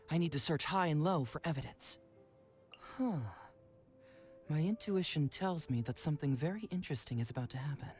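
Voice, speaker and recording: A man's voice: fairly high pitch, close to an everyday voice. A man playing a cocky, playful young detective from a game, chatty, teasing and self-assured. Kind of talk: game character acting.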